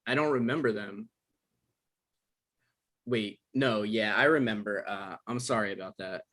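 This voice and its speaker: A man's voice: on the low side, unhurried, a bit bright. Man acting an awkward, hesitant guy in his thirties. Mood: apologetic. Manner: hesitant, stammering. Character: awkward, evasive male novelist, thirties, apologetic and defensive. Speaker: actor in a table read. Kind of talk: naturalistic comedic dialogue reading. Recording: online live-stream table read.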